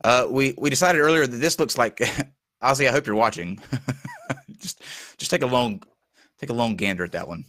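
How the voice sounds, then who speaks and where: A man hosting a casual talk show, losing his voice and talking haltingly with many fillers. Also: mid-range voice, expressive, a bit bright, dry recording, clean studio sound. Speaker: show host.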